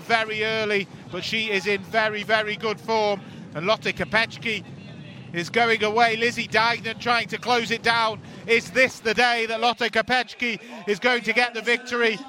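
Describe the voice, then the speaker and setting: Commentator: man, high and bright voice, energetic race calling, faint street noise behind. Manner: energetic, calls the action as it happens.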